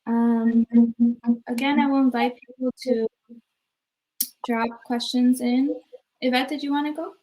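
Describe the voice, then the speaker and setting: A woman's voice: high-pitched, steady tone, unhurried, long pauses, warm tone, faint music behind, rough recording. A woman moderating a panel discussion who speaks encouragingly and uses many fillers.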